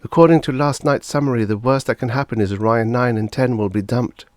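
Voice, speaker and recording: Man voicing an anxious mother, pitched up. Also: fairly quick, darkish tone, no echo, studio quality, lightly acted. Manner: fluttery, mild. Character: anxious, mildly protesting mother in space colony. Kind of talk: audiobook character voice.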